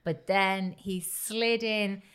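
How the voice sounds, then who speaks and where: A woman's voice: medium pitch, slow, clear, resonant tone. An Irish woman co-hosting a podcast in casual comedic banter, telling stories in an irreverent, sweary way. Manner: irreverent, sweary, animated storytelling. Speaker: podcast co-host.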